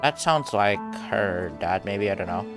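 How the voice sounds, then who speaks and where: A man's voice: fairly low pitch, lively intonation, a little slow, music in the background. A man doing casual live reaction commentary as a game streamer, rambling and thinking aloud.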